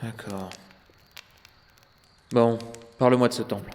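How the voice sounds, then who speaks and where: A man's voice: mid-range voice, steady tone, slow, darkish tone, close to an everyday voice. A man playing a cocky, wary treasure-hunting adventurer in an audio drama, with a wry, teasing delivery. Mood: wary, probing. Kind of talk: French audio drama acting. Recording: reverberant hall, faint background noise.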